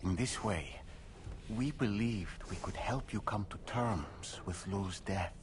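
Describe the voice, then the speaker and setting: A composed therapist in a video game, delivering painful truth gently. His voice is low and steady, slow and careful, sometimes falling to a near whisper, with faint ambience in the background. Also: a little hiss or hum. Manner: calm, measured and careful. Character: composed male therapist breaking hard news gently. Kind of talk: video game cutscene acting.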